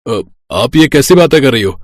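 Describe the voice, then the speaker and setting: A man's voice: mid-range voice, animated, normal speed, no room sound, studio quality, lightly acted. A man voicing a polite, concerned young brother in a cartoon.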